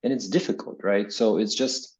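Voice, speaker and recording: A man from Kazakhstan in his forties speaks as an interviewed academic. His voice sits low and he explains things reflectively, recalling his own life and tagging points with small checking questions. The audio is a little dull and lo-fi. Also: moderate pace, darkish tone. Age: 40s. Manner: reflective, explanatory, often tags phrases with "right?". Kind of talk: conversational interview answers with personal recollection.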